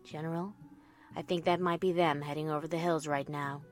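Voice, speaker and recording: A woman voicing a quiet, serious female army captain in an audio story, speaking in a measured way. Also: low-pitched, fairly even intonation, lightly acted. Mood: hushed, serious. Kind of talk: audio story character acting. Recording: music behind the voice.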